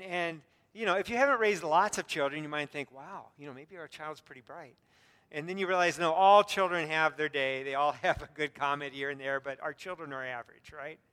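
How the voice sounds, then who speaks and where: Squeaky-voiced pastor preaching in a hall. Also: male voice, a bit bright. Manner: earnest, self-deprecating humor, frequent fillers and restarts. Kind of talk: sermon. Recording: long reverb from a hall.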